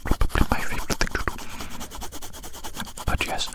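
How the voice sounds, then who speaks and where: A man whispers a slow, repetitive, reflective ASMR ramble very close to the microphone. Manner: whispers throughout, repeats phrases slowly and reflectively. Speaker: ASMR video creator. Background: scratchy drawing sounds.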